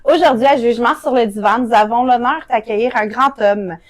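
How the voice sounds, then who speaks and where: A woman's voice: on the high side, fairly quick, soft background music. A woman hosting a casual interview, friendly and a bit hesitant with many fillers. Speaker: interview host.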